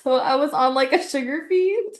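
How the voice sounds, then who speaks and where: A young woman co-hosting a casual chat, bubbly and excitable, laughing often. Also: high-pitched, normal speed. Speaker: podcast co-host.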